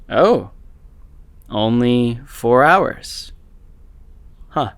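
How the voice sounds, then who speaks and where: An actor playing an obsessive, exhausted young male student, musing haltingly to himself in a horror audio drama. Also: mid-range voice, a bit bright, no room sound, full character voice. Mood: wry, uneasy.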